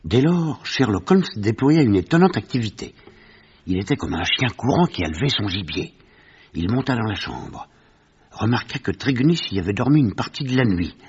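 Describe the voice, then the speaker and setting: Husky, low male mystery narrator, muffled. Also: lively intonation, normal speed, a little mellow. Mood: narrating, suspenseful. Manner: vivid, formal storytelling. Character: Doctor Watson as narrator, gentleman recounting a detective mystery. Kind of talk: scripted literary narration for radio mystery.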